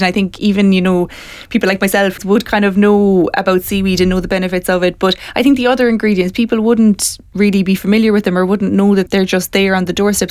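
A woman's voice: mid-range voice, a little mellow, no room sound. A woman interviewing a guest, asking long, encouraging questions with plenty of fillers. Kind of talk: radio-style interview questions.

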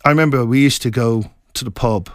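A middle-aged man from Scotland, an author being interviewed, talking in a reflective, meandering way with halting restarts. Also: mid-range voice, no echo, studio quality. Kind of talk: casual interview chat.

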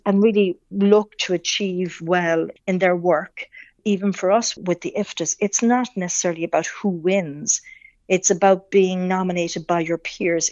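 A woman's voice: on the low side, darkish tone, no room sound, highs cut off. A middle-aged woman from Kerry with an Irish accent speaks as an interview guest, fluent and enthusiastic, reeling off lists of examples. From Ireland, Kerry. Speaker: film awards executive, interview guest. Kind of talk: interview answers.

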